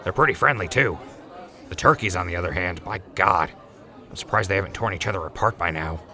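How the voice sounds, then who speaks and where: A man's voice: low-pitched, a little fast, sharp tone, soft background music, full character voice. A man playing an easygoing young rancher who jokes with his crew, in a fan audio drama. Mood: laid-back. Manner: laid-back, joking.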